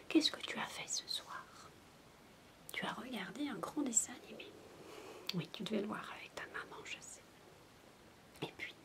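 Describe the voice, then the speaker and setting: A woman playing a zany, playful babysitter who coaxes a young child in a soft-spoken, whispery, silly ASMR roleplay. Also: fairly high pitch. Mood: silly, amused. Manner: whispery, coaxing, silly, chatty. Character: zany playful babysitter entertaining a six-year-old child.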